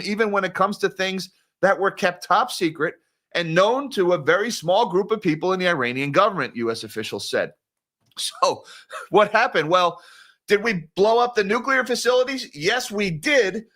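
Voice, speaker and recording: Exasperated high-voiced male commentator. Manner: animated, exasperated, ranting. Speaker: host. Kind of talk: live-stream news commentary.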